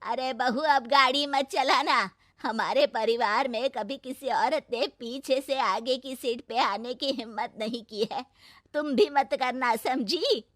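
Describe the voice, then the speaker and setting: A woman voicing a traditional old village mother-in-law in an animated story, with a sarcastic, drawn-out manner. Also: high-pitched, no room sound, clean studio sound. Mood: sarcastic, stern. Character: scolding, traditional old village mother-in-law, tender toward her son. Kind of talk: animated moral story acting.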